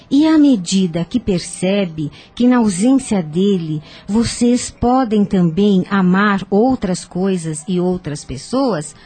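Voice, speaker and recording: A woman playing a calm, wise spiritist counsellor in a radio drama, with measured, gentle, didactic delivery. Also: mid-range voice, husky.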